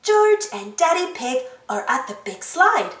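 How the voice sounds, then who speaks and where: A woman reading a children's storybook aloud as an expressive narrator and host. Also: sits high, unhurried, echoey room, recorded from a distance. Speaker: storybook reader, channel host.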